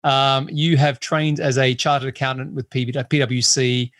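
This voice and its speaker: A man's voice: medium pitch, little pitch movement, moderate pace, fairly bright tone, no echo. A man hosting a business interview with a hybrid Australian accent mixed with English and American, chatty and enthusiastic. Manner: enthusiastic, chatty, laughs easily. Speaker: podcast host.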